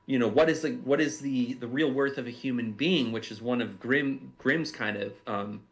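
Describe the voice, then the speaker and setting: A man's voice: medium pitch, moderate pace. A man who writes and makes audio drama, speaking unscripted and reflectively, often circling back to rephrase as he goes. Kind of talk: unscripted commentary discussion.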